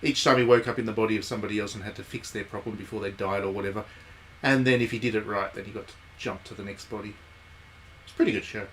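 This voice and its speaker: A man's voice: fairly low pitch, fairly even intonation, fast, a bit bright, a little hiss or hum, poor audio. A man giving casual, chatty live commentary as he streams a game, with wry asides. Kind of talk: casual live playthrough commentary, reading game text aloud.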